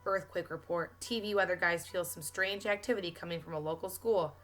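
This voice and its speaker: A woman reading a children's story aloud in an expressive narrating style. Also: fairly low pitch, a little fast.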